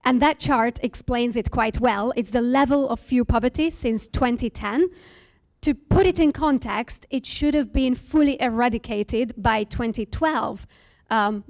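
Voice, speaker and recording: A woman from Hungary, giving an earnest presentation as a founder, with frequent fillers. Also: on the high side. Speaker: startup founder giving a talk. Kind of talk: presentation to an audience.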